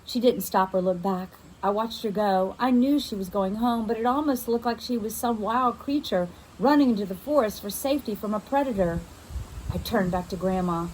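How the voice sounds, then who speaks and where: A woman reads a children's first-person novel aloud in an expressive storytelling style, as a teacher would. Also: mid-range voice, moderate pace, slight background noise, low-quality recording.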